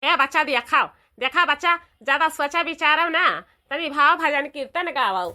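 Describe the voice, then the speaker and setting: A woman's voice: high-pitched, moderate pace, noisy background, lightly acted. A woman voicing a village elder in a cartoon, instructing people familiarly. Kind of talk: cartoon comedy dialogue.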